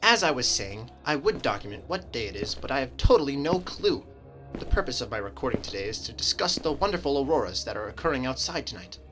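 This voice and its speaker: A woman voicing an eager, excitable researcher in a radio drama, wordy and precise. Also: extremely low pitch, fast, music in the background. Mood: scholarly delight. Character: Reller, eager excitable bookish researcher explorer.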